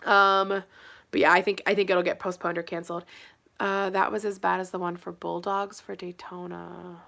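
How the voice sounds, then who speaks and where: A woman's voice: lowish voice, level tone, moderate pace, creaky, pushed and bright. A woman in her thirties chatting casually as she reads comments aloud, her voice a little nasal from a cold. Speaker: cheer YouTuber answering live chat. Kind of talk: casual chat.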